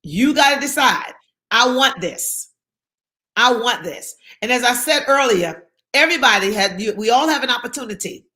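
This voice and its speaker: Bright, emphatic woman speaker. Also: on the high side, animated, moderate pace. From South Carolina. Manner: emphatic, repeats key phrases. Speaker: guest speaker. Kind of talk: motivational interview talk.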